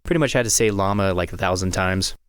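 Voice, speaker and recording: A man's voice: deep voice, quick pace, smooth, no room sound, clean studio sound. A man hosting a casual vlog as an amateur voice impressionist, chatting to viewers.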